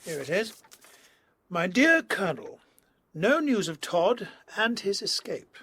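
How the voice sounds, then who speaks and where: An actor playing a genteel, anxious colonel in a period audio drama, formal and earnest. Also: male voice, sits high, sing-song, slow pace, sharp tone, husky, full character voice. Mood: measured, reading.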